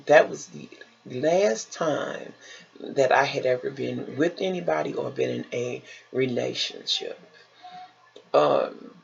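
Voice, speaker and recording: An American woman from Chicago with a husky, gravelly voice, telling personal stories in a casual, chatty, emphatic and folksy manner, repeating phrases for effect. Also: low-pitched, lo-fi sound. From Chicago, United States.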